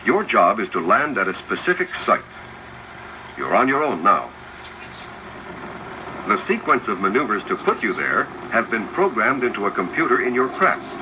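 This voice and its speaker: A man's voice: fairly low pitch, sing-song, moderate pace, pauses often. A man reading scripted, instructive narration for a 1970s educational film, addressing the viewer directly. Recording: noisy 1970s 16mm film soundtrack, projector whir. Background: mechanical projector noise.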